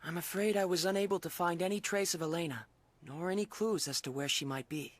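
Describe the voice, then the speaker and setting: A man's voice: high-pitched, a little fast, close to an everyday voice. An actor playing a composed, analytical young male companion in a video game, speaking formally and precisely. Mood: calm, analytical. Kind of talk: video game cutscene acting. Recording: distant, under loud game music.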